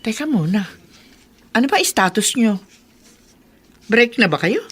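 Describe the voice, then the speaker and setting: A woman's voice: fairly high pitch, very animated, unhurried, husky, exaggerated voice. A woman playing a protective, frank elder confidant, giving advice in a radio drama. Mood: advising. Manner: frank, advising. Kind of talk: Filipino radio drama acting.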